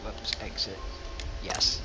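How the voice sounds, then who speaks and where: A man doing casual live gameplay commentary, thinking aloud in a halting, rambling way over game audio. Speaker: game streamer. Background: game music and sounds.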